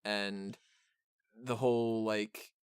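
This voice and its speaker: A man's voice: fairly low pitch, fairly even intonation, extremely slow, clean tone, no echo, clean studio sound. A man hosting a podcast, chatting casually and off the cuff about films, rambling, with blunt opinions and the odd joke. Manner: rambling, conversational, frequent "like" and "kinda". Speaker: podcast host reviewing a film. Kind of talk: casual unscripted review chat.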